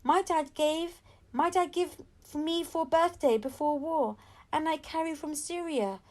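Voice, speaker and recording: A woman voicing an earnest, anxious young refugee boy from Syria who speaks halting, broken English in an audiobook. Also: high voice, darkish tone, pitched above the performer's own voice.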